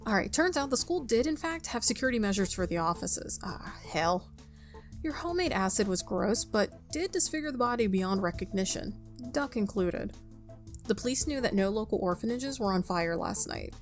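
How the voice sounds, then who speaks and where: A woman gives a casual game playthrough, chatty and playful as she talks to herself. Her voice sits at a middle pitch, close to the microphone, with light music behind it. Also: lively intonation, moderate pace. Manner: chatty, self-talking, playful. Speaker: gaming video host. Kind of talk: casual playthrough commentary, reading game text aloud. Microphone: close microphone without pop filter.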